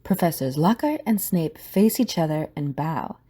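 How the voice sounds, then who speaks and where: A woman's voice: low voice, lively intonation, normal speed, dark tone, slightly rough. A woman reading scripted, dramatic story narration for an audio drama.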